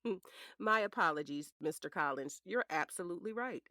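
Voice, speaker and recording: A woman's voice: lowish voice, animated, a little fast, bright, forceful tone, no room sound, clean studio sound. A woman playing a shrewd defense attorney in a courtroom audio drama, questioning in a pointed, dryly sarcastic way. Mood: probing, sly.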